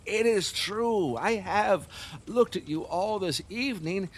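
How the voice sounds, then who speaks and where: An actor playing a lustful, fearful, superstitious middle-aged king in an audio play, husky, wheedling and erratic, with nervous laughs and halting repeats. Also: high voice, slight background noise. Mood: wheedling, pleading. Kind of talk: theatrical audio play acting.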